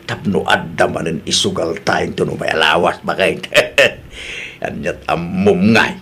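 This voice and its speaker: A man's voice: low-pitched, steady tone, slow pace, gravelly, sharp tone, a little hiss or hum, full character voice. A man with an Ilocano accent playing an easygoing rural father-in-law in an audio drama, chatting in a folksy way. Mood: cheerful, scheming.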